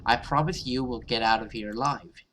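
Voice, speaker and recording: A man's voice: medium pitch, normal speed, bright, forceful tone, a little hiss or hum, natural voice. A man voicing a brave, protective young woman from a horror game, earnest and reassuring.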